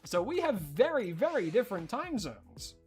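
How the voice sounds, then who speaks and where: An Australian streamer chatting casually while gaming, rambling and excitable, breaking into high squeals of surprise. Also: normal speed, breathy edge, faint music behind.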